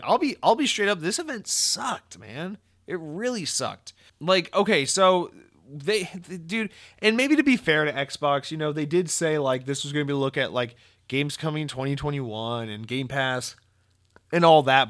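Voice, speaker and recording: A young man hosting a gaming podcast, talking in a casual, opinionated way, his voice hoarse and tired, blunt and swearing freely. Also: mid-range voice, fairly bright tone.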